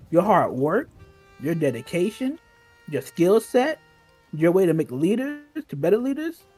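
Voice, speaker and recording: A man's voice: a little high, mellow, breathy edge. A man reminiscing in casual, slangy talk, emphatic and often repeating himself.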